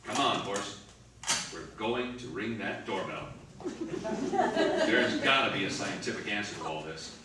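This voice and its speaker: An actor playing a commanding, sceptical spaceship captain in a sci-fi radio drama, barking orders and stammering when baffled. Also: male voice, fairly low pitch, lively intonation, unhurried, pauses often. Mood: firm, commanding. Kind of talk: old-time sci-fi radio drama acting. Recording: roomy, distant, clearly noisy recording.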